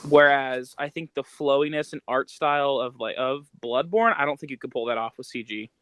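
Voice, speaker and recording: Male podcast co-host, medium pitch, loose slangy thinking-aloud chat, very dry room. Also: fairly quick, no room sound. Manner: slangy, rambling, thinking out loud.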